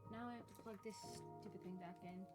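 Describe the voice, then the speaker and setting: A woman's voice: medium pitch, fairly even intonation, fast talker, over loud music. A woman game streamer chatting casually to viewers in a rambling, self-deprecating way. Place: indoors at a home gaming setup. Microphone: streaming microphone.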